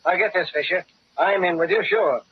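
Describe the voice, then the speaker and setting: A male actor as a corrupt city inspector in an old radio drama. He is high-pitched, slangy and grumbling, a tough little schemer. The recording sounds dull and noisy. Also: normal speed, natural voice. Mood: wary, conniving. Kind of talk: 1940s radio acting.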